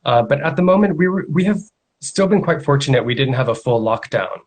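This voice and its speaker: A man's voice: medium pitch, lively intonation. Hong Kong man with frequent fillers. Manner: measured, hesitant with many fillers. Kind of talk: video call interview. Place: home studio. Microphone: video call.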